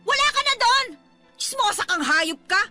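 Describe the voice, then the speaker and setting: A woman's voice: extremely high pitch, lively intonation, normal speed, sharp tone, faint music behind, full character voice. A woman playing a harsh, abusive middle-aged neighbor who scolds and insults in a radio drama. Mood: hostile. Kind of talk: Tagalog radio drama acting.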